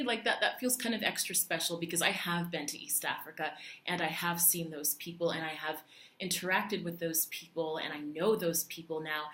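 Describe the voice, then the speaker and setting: A woman from Puerto Rico talks casually to her viewers, chatty and animated, often restarting her sentences. Her voice sits a little low. The sound rings with the echo of the room. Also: slight background noise. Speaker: vlogger. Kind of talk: casual talk to camera. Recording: echoey room.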